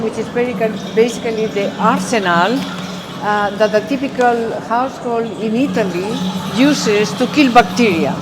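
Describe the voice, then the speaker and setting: A woman from Spain with a Spanish accent guides visitors through an exhibition as its curator, speaking in a halting way. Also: on the high side, very noisy. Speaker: exhibition curator, architecture professor. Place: exhibition gallery, indoors.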